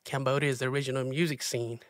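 A man's voice: medium pitch, steady tone, brisk, no room sound. A man hosting an interview, chatting casually and upbeat, with lots of fillers. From US Pacific Northwest. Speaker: podcast host.

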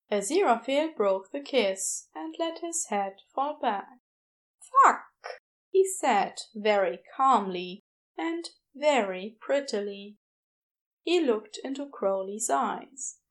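Fiction narrator, female: high register, expressive pitch movement, measured slow delivery. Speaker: audiobook narrator.

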